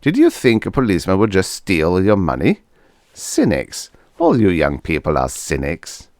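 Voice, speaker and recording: Male reader as shifty older mad scientist doctor, chiding, theatrical, gravelly, swooping pitch, storybook character. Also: huge pitch swings, moderate pace, a little mellow, dry recording, clean studio sound, full character voice. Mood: patronizing. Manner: fussy, theatrical, patronizing. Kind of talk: vintage science fiction audiobook character.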